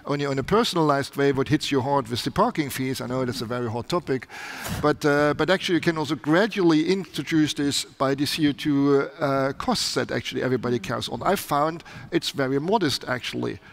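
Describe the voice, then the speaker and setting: A man's voice: medium pitch, brisk, vocal fry. A middle-aged man speaking on a conference panel with a headset mic on a large stage, earnest and often restarting his sentences. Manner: earnest, with frequent restarts in non-native phrasing.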